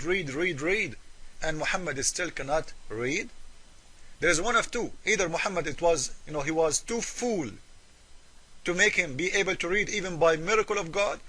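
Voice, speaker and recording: A man's voice: sits high, moderate pace, bright, forceful tone. A man whose first language is Arabic, speaking English as a second language while giving argumentative commentary. He talks emphatically and mockingly, firing rhetorical questions at the viewer. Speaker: religious debate commentator.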